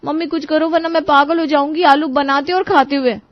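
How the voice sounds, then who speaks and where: A woman playing a polite but exasperated young daughter-in-law in a dramatized story, expressive in the way she speaks. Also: high-pitched, a little fast, no echo, highs cut off, close to an everyday voice. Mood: exasperated complaint. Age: young adult.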